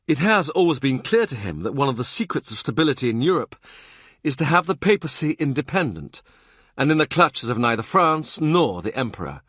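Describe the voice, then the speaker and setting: A man narrating a historical novel as an audiobook in a measured, even-toned reading. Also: mid-range voice, no room sound, clean studio sound.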